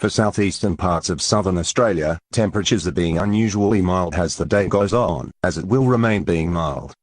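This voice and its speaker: A man's voice: low voice, moderate pace, dry recording, clean studio sound. A man reading scripted fictional news and alerts as an announcer, in a formal, stiff manner.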